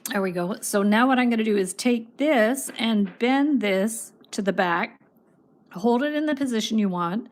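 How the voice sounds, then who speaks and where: A woman's voice: on the high side, normal speed. A woman hosting a casual craft livestream, chatting as she reads viewer comments, her voice starting to go. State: losing her voice.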